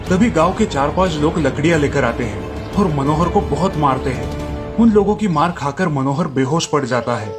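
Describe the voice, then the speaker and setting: Male narrator for children's moral tale: scripted storytelling, slightly high register, clear music bed. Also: animated, normal speed.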